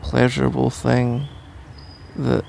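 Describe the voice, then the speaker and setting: A man's voice: medium pitch, completely flat delivery, slow, gravelly, a little mellow, slight background noise. A man playing a sleepy, cuddly husband in an intimate ASMR roleplay, drowsy, affectionate and rambling, with playful melodrama. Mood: tender, content.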